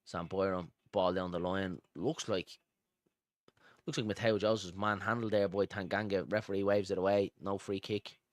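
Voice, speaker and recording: A man's voice: low voice, little pitch movement, moderate pace, no room sound, studio quality. A man with a Dublin Irish accent doing live football commentary and informal, matey chat as host of a fan watchalong stream. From Dublin, Ireland. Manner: informal, matey, addresses viewers as pal and buddy.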